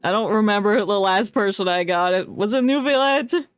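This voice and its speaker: A woman's voice: medium pitch, normal speed, smooth, no echo, soft background music. A woman chatting casually as she streams a game, with a playful, mock-dramatic manner. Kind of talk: casual livestream gameplay chat.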